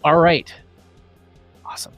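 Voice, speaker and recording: A man hosting a casual livestream, upbeat and rambling with many fillers, his voice going a little hoarse. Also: unhurried, fairly bright tone, dry recording, soft background music. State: losing his voice. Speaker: livestream host.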